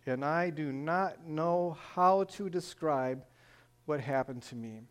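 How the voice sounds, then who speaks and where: An older man from Michigan with a northern Michigan accent, telling his personal faith testimony in an earnest, storytelling way with frequent "uh"s. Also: a little high. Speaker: church testimony speaker.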